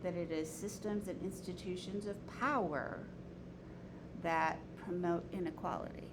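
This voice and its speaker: Woman leading a discussion over clear noise. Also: on the low side, steady tone, a little slow. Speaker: discussion leader, teacher. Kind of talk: informal lecture and group discussion. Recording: noisy room recording.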